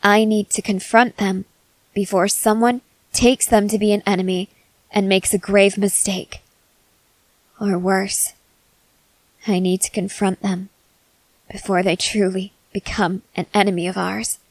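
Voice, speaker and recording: A woman playing a stoic, confident young woman soldier in an ASMR roleplay, speaking in a measured, serious, guarded way with hesitant pauses. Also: mid-range voice, flat delivery, no room sound, rough recording. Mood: worried, grave. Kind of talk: ASMR roleplay, acted scene to a listener.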